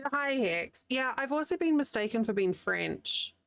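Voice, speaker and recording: A New Zealand woman chats loosely with her stream audience, rambling and laughing as she goes. Her voice has a gravelly edge. The recording is dry and close, with no room sound. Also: high-pitched, animated, measured pace, fairly bright tone, no room sound. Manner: chatty, rambling, laughs often. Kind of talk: casual chat with live chat while crocheting. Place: home, living in the United States.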